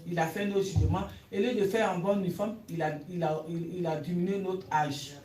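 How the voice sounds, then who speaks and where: A woman from West Africa asking a question in church, talking in a hesitant, halting way with many restarts. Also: fairly low pitch, fairly even intonation, mellow. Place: church hall. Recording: echoey hall from far off, faint hiss.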